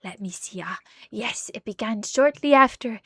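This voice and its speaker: A woman voicing a polite, fretful male candlestick servant from a video game, in a rough French accent with courtly, hesitant phrasing. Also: a little high, normal speed, sharp tone, no room sound, studio quality, full character voice. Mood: worried. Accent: rough put-on French.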